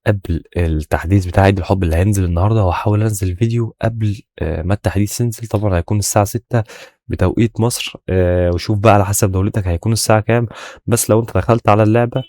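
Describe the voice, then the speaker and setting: A man's voice: lowish voice, racing, darkish tone, no echo, clean studio sound. A man with an Egyptian accent and a slightly tired voice, casually explaining a game update to viewers and often checking that they follow. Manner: informal, repeatedly checking in with "okay?". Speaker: gaming YouTuber.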